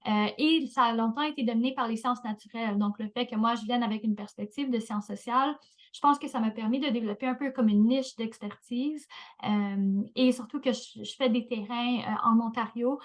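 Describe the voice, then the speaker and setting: A woman's voice: on the high side, brisk. A woman speaking as a professor on a panel, hesitant with many fillers. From Canada. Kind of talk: panel discussion.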